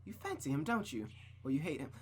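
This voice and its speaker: A woman voicing a cruel, domineering mother in a visual novel, with theatrical, drawn-out scorn. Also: sits low, sing-song, darkish tone, husky, heavily acted. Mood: scornful fury.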